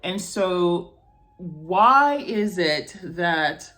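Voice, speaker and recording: A woman's voice: fairly low pitch, expressive, very slow, fairly bright tone. A woman from Morocco speaking off the cuff to camera as a video blogger, explaining things and checking in with the listener. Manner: explanatory, checks in with "okay?" and "right?". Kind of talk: unrehearsed personal talk to camera.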